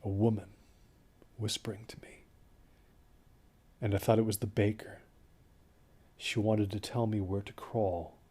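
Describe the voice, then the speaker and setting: Man acting husky, low-voiced, haunted ordeal survivor. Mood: eerie, secretive. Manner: halting, detached, with hushed near-whispered phrases. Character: injured, dazed, delusional man recounting a desert ordeal. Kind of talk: first-person audio horror drama monologue.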